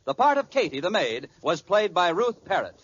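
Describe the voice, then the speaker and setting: A man's voice: high-pitched, sing-song, normal speed, a bit bright, breathy edge. A man announcing scripted credits in the polished style of 1940s radio. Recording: dull, noisy old radio recording.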